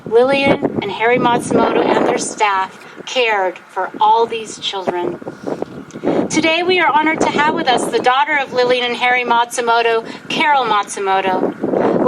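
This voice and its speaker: Loud wind noise batters the microphone at an outdoor event. Over it, a woman with a high voice delivers a prepared speech. She speaks formally and deliberately, with a solemn bearing suited to a commemoration. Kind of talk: prepared public speech, read aloud. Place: outdoors at a historic site.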